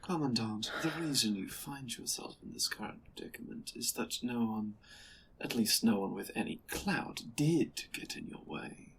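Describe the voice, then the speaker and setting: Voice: mid-range voice, expressive, moderate pace, a little hiss or hum, lightly acted, poor audio. An actor playing an imperious, condescending superior in a sci-fi audio drama, speaking in a formal, commanding, disdainful way. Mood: cold disappointment. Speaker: guest voice actor. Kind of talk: sci-fi audio roleplay acting.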